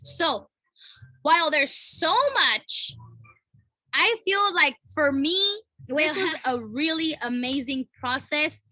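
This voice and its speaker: Woman co-host, high bright voice, earnest, dry close sound. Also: takes it slowly, no room sound, poor audio. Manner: reads a book passage aloud, then reflects; earnest, repeats phrases with tag questions. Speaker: podcast co-host. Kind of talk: casual spiritual chat.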